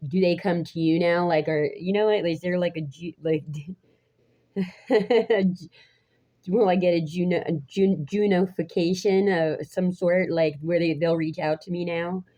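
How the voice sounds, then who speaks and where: Raspy thirties woman vlogger, low and mellow, rambling with many restarts. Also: fairly even intonation. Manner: halting, restarts often, laughs between phrases. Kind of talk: rambling casual vlog chat. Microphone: phone.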